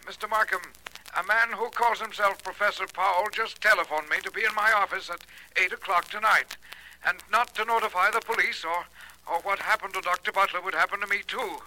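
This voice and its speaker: High, sharp-voiced nervous doctor. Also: male voice, steady tone, fairly quick. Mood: frightened. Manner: hesitant, anxious, deferential. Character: nervous, frightened male doctor. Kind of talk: 1940s radio acting. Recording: noisy old radio recording with clicks.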